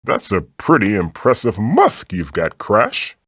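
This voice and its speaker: A man's voice: on the low side, wildly swooping pitch, measured pace, fairly bright tone, slightly rough, dry recording, clean studio sound, full character voice. A man voicing a magical floating tiki mask in a video game, a fatherly guide who is theatrical, encouraging and wry. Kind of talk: video game character voice lines, tutorials and quips.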